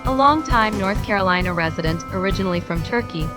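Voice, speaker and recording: A woman host reading a formal introduction and asking measured interview questions. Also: medium pitch, lively intonation, music in the background. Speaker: podcast host.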